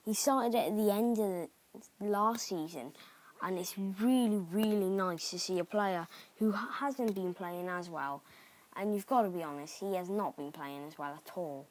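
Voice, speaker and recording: A young man from Cardiff with a Cardiff Welsh accent, chatting excitably through gameplay commentary with rambling asides. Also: high voice. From Cardiff, Wales. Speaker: gaming YouTuber.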